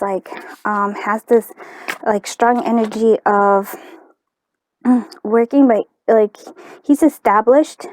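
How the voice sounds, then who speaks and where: A woman giving a casual tarot card reading, talking softly and chattily with many fillers and restarts. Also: medium pitch, no room sound, low-quality recording. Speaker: tarot reader.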